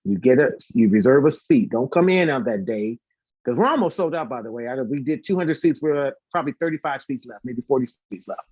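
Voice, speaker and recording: A man's voice: medium pitch, expressive, a little fast, darkish tone, breathy edge, no room sound, lo-fi sound. A man chatting casually and informally as an interview guest, talkative and prone to repeating words for emphasis. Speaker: guest, singer and concert organizer.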